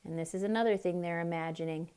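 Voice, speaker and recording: A woman reading a picture book aloud to young children as a dramatic, expressive storyteller, with explanatory asides. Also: on the low side, quick pace, clear, resonant tone.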